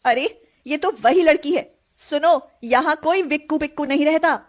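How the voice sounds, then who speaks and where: A woman's voice: high voice, normal speed, pitched above the performer's own voice, close to an everyday voice. A woman voicing a jealous, hot-tempered young wife in a family moral story, dramatic and imploring. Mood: indignant.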